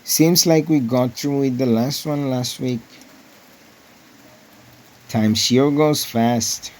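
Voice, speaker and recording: Man putting on a folksy village wife, pitched up. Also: takes it slowly, a little mellow, close to an everyday voice, rough recording. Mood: chatty. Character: folksy village wife, chatty and plain-spoken.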